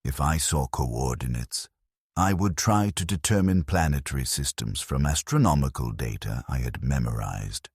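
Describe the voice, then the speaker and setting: A man reading scripted first-person narration in a measured, somber and reflective manner. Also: a bass voice, breathy edge, no room sound, studio quality.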